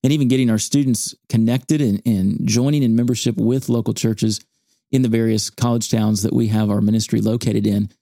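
Guy hosting a ministry podcast in a studio, mellow dry voice, measured and earnest. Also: lowish voice, steady tone, vocal fry, no room sound. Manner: measured, with earnest churchy phrasing. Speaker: podcast host, campus ministry staff.

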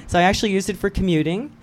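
A woman's voice: medium pitch, brisk, darkish tone. A woman from Hawaii, a guest on a local radio show, telling her story in a chatty way and laughing easily. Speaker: guest, cycling event promoter. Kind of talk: casual radio chat.

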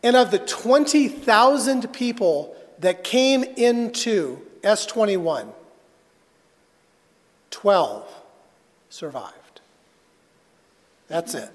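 A man preaching a sermon as a pastor, telling a personal story earnestly and haltingly, with dramatic pauses. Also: sits high, sing-song. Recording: reverberant hall.

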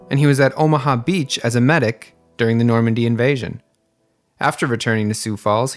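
A man hosting a history podcast, reading a scripted narration in a measured, even manner. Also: medium pitch. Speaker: podcast host.

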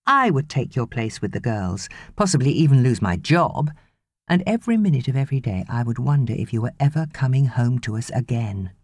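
A woman's voice: rumbling low, very animated, a little fast, no room sound, heavily acted. A woman voicing an earnest, loving husband and father in an audiobook, pleading and persuading emphatically. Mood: controlled anger.

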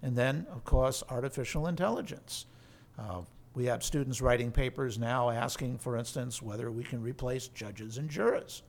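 A man who is a law professor and center director, explaining in a measured, articulate way on a podcast. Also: mid-range voice. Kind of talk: podcast interview.

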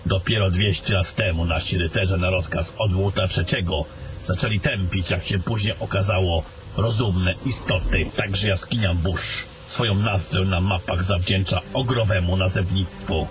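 A man's voice: deep voice, fairly even intonation, normal speed, over music, close to an everyday voice. A man playing a travelling adventurer in a fantasy audio drama. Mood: matter-of-fact. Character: fantasy adventurers, gruff travelling men and a mage.

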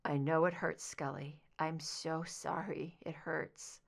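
A woman voicing a male agent who softly guides his partner through hypnosis. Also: steady tone, moderate pace. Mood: tender. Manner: soft, low, soothing. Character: gentle anguished male agent guiding hypnosis.

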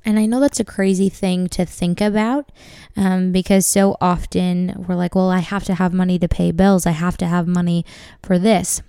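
Raspy young woman podcaster, chatty. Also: fairly low pitch, fairly even intonation, normal speed, warm tone, dry recording, studio quality. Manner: chatty, laughs often, frequent ums. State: dry, raspy voice. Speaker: podcast host. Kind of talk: casual faith-based podcast monologue.

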